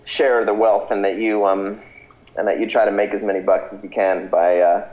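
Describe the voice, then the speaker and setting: A man with a fairly low voice speaks over a video call, sounding hesitant and often restarting his sentences. His audio carries a noticeable room echo. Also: level tone, moderate pace, darkish tone, muffled recording. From Philippines. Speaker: Skype guest. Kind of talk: casual interview answers.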